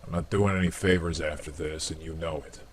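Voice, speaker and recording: A very low male voice from an actor plays a loyal field soldier in a game cutscene. He is terse and matter-of-fact. Faint ambient noise sits behind the voice. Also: steady tone, brisk. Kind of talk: video game cutscene acting.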